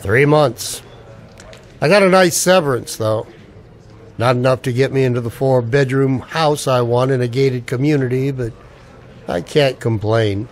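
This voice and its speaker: A man's voice: medium pitch, a little slow, lightly acted. An actor playing a wry, wary ex-soldier down on his luck, with dry, sardonic banter in a radio play. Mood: wry, resigned. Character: wry, wary unemployed ex-Ranger, expectant father. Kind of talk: radio play dialogue in homage to old radio dramas.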